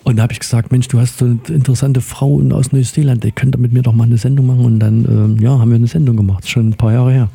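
A man's voice: medium pitch, level tone, fast talker, gravelly, hardly any pauses, mellow, breathy edge. A man hosting a casual radio interview, chatting in a rambling way. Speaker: radio show host.

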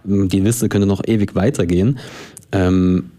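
Man, radio host, fairly low voice, mellow tone, casual studio interview. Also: steady tone, measured pace. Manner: easygoing, chatty questions with fillers.

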